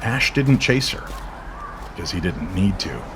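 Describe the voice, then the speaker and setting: A man's voice: deep voice, expressive, measured pace, background noise. A man narrating a scripted mystery story in a dramatic storytelling style.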